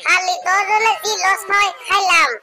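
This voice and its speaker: A man voicing an aggrieved, sarcastic village fisherman in a comic cartoon, with a rural Bengali dialect and a pitch-raised squeaky voice. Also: steady tone, moderate pace, a crowd in the background. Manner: complaining, scolding, sarcastic. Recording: loud background noise.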